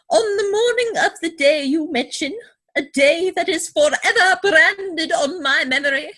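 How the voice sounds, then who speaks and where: A woman playing a flustered, prim older governess in a comic play reading, with a fluttery, melodramatic, halting delivery. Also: very high-pitched, a bit bright, exaggerated voice.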